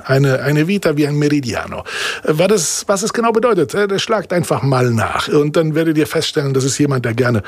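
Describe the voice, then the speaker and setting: A man's voice: fairly high pitch, sing-song, a little fast, no room sound. A man hosting a casual radio interview, chatty and playful, whose first language is Italian. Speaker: radio host.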